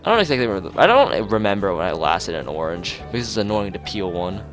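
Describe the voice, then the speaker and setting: A man's voice: on the low side, big pitch swings, quick pace, fairly bright tone, faint music behind. A man voicing an odd, blunt, musing teenage schoolgirl artist from a visual novel, talking in matter-of-fact non-sequiturs.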